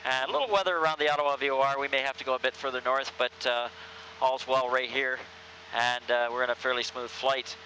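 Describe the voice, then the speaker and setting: Older airline captain, relaxed cockpit explainer, very bright edge in the voice, headset mic, faint cabin hum. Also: male voice, mid-range voice, a little fast, rough recording. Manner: relaxed, explanatory, many fillers. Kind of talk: cockpit commentary. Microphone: aviation headset.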